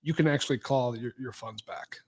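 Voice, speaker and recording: A man's voice: medium pitch, a little fast. A man co-hosting a crypto podcast, speaking casually and hesitantly, with frequent restarts and fillers. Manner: hesitant, many restarts and likes. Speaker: podcast co-host. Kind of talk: casual chat.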